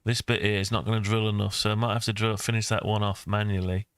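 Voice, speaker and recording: Low, even-toned older man, thinking aloud. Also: quick pace, clean tone, no room sound. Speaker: maker and video host. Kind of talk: casual screen-recorded tutorial talk.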